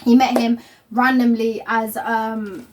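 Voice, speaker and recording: A woman's voice: a little high, little pitch movement, slow, background noise. A young woman of Somali family hosting a casual couple vlog at home, chatty and rambling, with lots of fillers and laughter. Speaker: vlog host. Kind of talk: casual chat.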